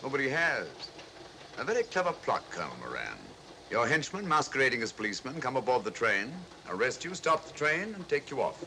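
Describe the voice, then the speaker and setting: Urbane detective, noisy vintage soundtrack. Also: male voice, medium pitch, wildly swooping pitch, brisk, pushed and bright, heavily acted. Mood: triumphant. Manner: urbane, wry, explanatory. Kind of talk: 1940s film mystery acting. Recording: noisy old film soundtrack.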